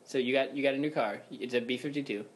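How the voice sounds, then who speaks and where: A young man co-hosts a casual podcast in a rambling, joking way. He laughs easily and keeps the talk loose, in a middle pitch. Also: fast, slightly rough. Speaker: podcast co-host.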